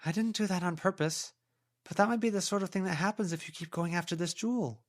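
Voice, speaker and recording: A man's voice: sits high, quick pace, voice pushed up, natural voice. A man voicing a blunt, sceptical young girl with a casual way of talking, in an audiobook. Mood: apologetic, insistent. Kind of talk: audiobook character voice.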